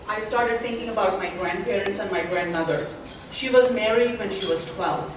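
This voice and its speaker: A woman's voice: mid-range voice, noisy background. Middle-aged indian woman on a panel, earnest, sounds far off in a big echoey hall. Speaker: panelist, associate dean. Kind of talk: panel talk to a symposium audience.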